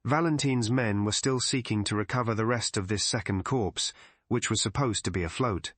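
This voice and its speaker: A man reading literary mystery narration in a measured, deliberate way. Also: lowish voice, a bit bright, no room sound. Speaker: audiobook narrator.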